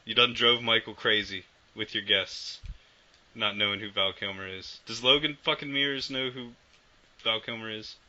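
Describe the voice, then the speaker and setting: A man co-hosting a podcast trades profane, teasing banter in a very bright, forceful voice. He is a little under the weather. The audio is dull and lo-fi. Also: lowish voice, normal speed. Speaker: podcast co-host. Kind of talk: casual podcast chat.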